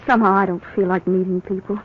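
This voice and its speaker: An actress playing a fragile, withdrawn young wife who speaks softly, in 1940s radio acting. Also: female voice, mid-range voice, flat delivery, fast, slight background noise, close to an everyday voice. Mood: gentle. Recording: dull old radio recording.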